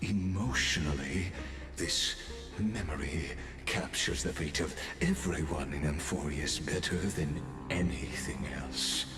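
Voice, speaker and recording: Very deep, slow-speaking imprisoned villain. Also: male voice. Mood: calm, menacing. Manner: theatrical, deliberate, pompous monologuing. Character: imprisoned male genius villain, grandly philosophical, coldly patient. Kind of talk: scripted video game villain narration. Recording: echoing voice with game music behind.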